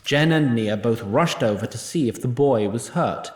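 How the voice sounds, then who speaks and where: A man reads scripted fantasy narration at a medium pitch. His delivery is wry and literary, with animated rises and falls. The sound has a noticeable room echo. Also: normal speed.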